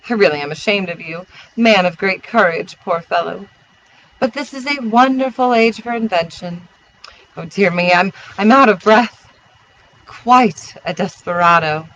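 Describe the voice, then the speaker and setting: Flirtatious storybook hostess, breezy, exclamatory, bright and animated, voiced by a man, slight background noise. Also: high voice, full character voice, rough recording. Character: flighty, flirtatious society hostess, gossiping while dancing.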